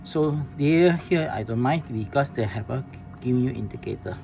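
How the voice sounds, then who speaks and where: Man giving a halting product demo. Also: medium pitch, expressive, creaky. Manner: halting, plain wording with many restarts and fillers. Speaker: product demonstrator. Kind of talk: casual product demo walkthrough. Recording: noisy room recording.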